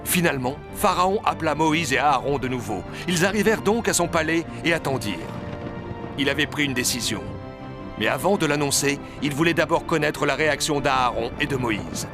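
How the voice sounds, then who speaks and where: Storybook narrator, male, slightly high pitch, scripted delivery over clear background music. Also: animated, fairly quick. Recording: music under the voice.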